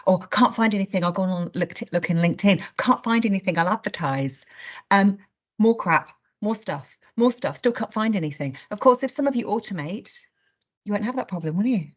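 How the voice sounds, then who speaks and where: A woman's voice: medium pitch, lively intonation, brisk, dull audio. A woman hosting a training webinar in an informal, blunt, conversational way, with rhetorical questions and acted-out asides.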